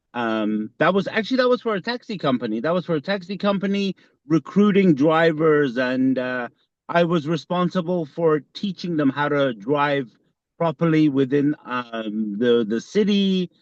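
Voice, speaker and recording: Brief: fifties man, halting casual co-host, fairly high voice, dry slightly dull recording. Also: animated, no room sound. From Iran. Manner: halting, many fillers and restarts. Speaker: podcast co-host. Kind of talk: casual conversation.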